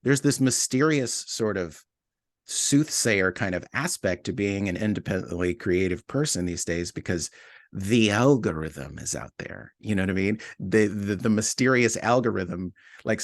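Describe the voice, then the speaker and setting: A man's voice: on the low side, normal speed, no echo. A man speaking as an interview guest in a casual, reflective way, repeating and rephrasing his thoughts as he goes. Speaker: interview guest, podcast writer and producer.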